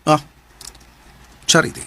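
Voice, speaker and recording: A man playing a calm, resigned working-class young man in a Bisaya radio drama, matter-of-fact, with a Filipino accent. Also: slow.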